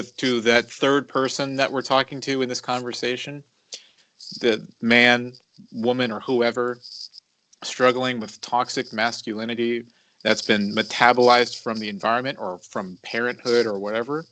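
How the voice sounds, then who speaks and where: A man in his mid-thirties talking as a podcast co-host in a reflective, confessional way, halting and deliberate, repeating words for emphasis. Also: medium pitch, fairly bright tone, no echo, rough recording.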